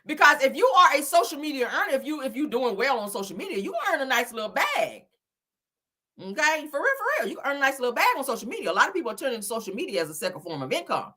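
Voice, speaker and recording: Woman, higher-pitched, bright and sharp, blunt scolding commentator, emphatic repetition, profane. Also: sing-song, fast talker. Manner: emphatic, repeats phrases for effect. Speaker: YouTuber commentating on celebrity and viral topics. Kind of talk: animated reaction commentary.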